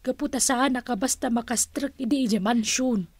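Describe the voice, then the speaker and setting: A woman's voice: on the high side, normal speed, slightly rough, no room sound. A woman playing a strong-minded young mistress of a restaurant, emphatic and disapproving, in a radio drama. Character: strong-minded senyorita managing restaurant staff. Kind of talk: Philippine radio drama acting.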